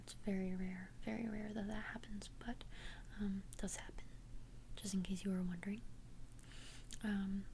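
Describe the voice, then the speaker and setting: A woman speaks in a close, breathy whisper, slowly and with very little rise and fall. She plays a friendly vampire best friend in an ASMR roleplay, gently and hesitantly confiding. Light ambient noise sits behind her voice. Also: mid-range voice. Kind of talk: whispered ASMR roleplay, one-sided conversation. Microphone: close ASMR microphone.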